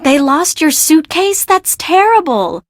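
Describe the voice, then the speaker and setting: A woman voicing an exasperated, bossy young assistant to an eccentric fashion designer in a video game, brisk, expressive and sarcastic. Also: sits high, no room sound, studio quality, heavily acted. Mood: breezy.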